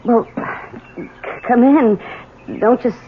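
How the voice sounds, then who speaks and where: A woman's voice: on the high side, slow. An actress playing a loving, anxious wife and mother who soothes everyone, in 1970s radio drama style. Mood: flustered. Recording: tinny, noisy old radio broadcast.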